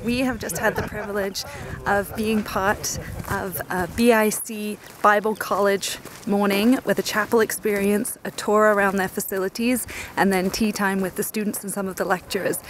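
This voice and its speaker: A woman's voice: medium pitch, flat delivery, normal speed, slight background noise. A young woman filming herself at arm's length on a trip, talking with bubbly enthusiasm about what her team is seeing. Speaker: team member on a learning trip. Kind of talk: travel vlog selfie narration. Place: outdoors at a rural mission and indoors in hospital rooms. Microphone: handheld camera at arm's length.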